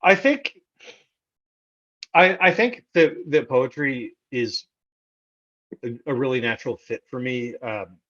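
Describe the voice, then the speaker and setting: An American man from Texas answers interview questions in a casual, conversational way. His voice sits at a medium pitch. He speaks haltingly, doubling back on words as he works out a thought. The recording is somewhat dull and lo-fi. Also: a bit bright. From Texas, United States. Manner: halting, repeats words as he thinks aloud. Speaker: interview guest, poet.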